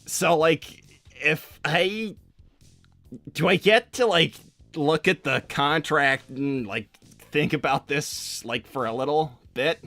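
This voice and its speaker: A man's voice: fairly high pitch, slow, bright, forceful tone, no room sound, soft background music, full character voice. A man voicing a flustered, insecure young cartoon hedgehog hero, stammering and hesitant, in an improvised roleplay. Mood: hesitant, tentative. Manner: stammering, hesitant, self-deprecating. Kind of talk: improvised in-character livestream roleplay.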